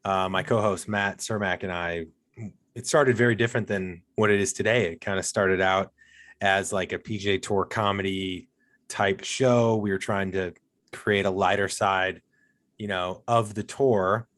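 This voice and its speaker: A man's voice: low voice, fairly even intonation, a little slow, a bit bright. A man guesting on a golf podcast, chatting casually and telling stories with self-deprecating humor. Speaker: podcast guest, co-host of another golf show. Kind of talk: casual interview chat.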